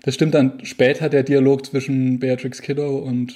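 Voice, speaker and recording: A man talking casually and enthusiastically about a film in a relaxed discussion. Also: medium pitch, moderate pace. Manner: enthusiastic, conversational, loose phrasing.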